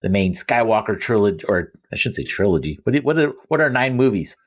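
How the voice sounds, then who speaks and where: Slightly low-voiced man in casual talk. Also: very animated, fairly quick. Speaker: podcast co-host. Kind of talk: casual fan discussion.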